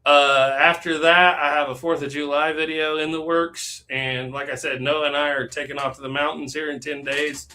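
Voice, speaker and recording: A man's voice: on the high side, flat delivery, moderate pace, hardly any pauses, sharp tone. A man talking as a guest on a casual live stream, chatty, with lots of fillers and easy laughs.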